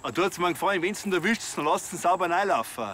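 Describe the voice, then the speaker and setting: Bright, animated grumpy Bavarian farmer. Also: male voice, sits high, racing, a little hiss or hum. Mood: disgruntled. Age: 40s. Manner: gruff, rustic. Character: grumpy mid-forties Bavarian farmer in dungarees.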